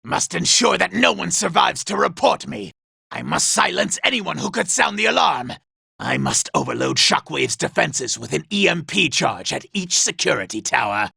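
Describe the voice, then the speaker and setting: Screechy gravelly evil robot commander from a game, super vain and petulant, really piercing and swoopy. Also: male voice, a little high, big pitch swings, normal speed, sharp tone, no echo, studio quality, exaggerated voice. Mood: scheming. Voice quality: raspy, gravelly. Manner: imperious, theatrical, petulant. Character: scheming, vain, power-hungry robot commander, male villain. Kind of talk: video game villain voice acting.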